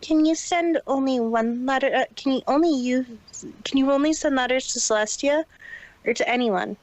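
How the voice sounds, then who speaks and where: Man voicing a high-pitched storybook mare. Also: normal speed, no echo, low-quality recording. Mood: curious, determined. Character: showy female pony magician speaking in third person.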